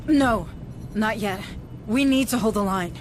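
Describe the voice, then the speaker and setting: A woman's voice: medium pitch, measured pace, noisy background, close to an everyday voice. A woman playing a resolute, duty-bound commander with a firm, serious manner in a sci-fi game cutscene. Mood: determined. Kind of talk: video game cutscene acting.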